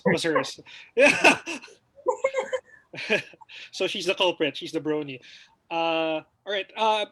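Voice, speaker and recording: A man's voice: sits high, bright, forceful tone, dry recording. A man hosting a casual livestream talk show, welcoming guests with many hesitant fillers.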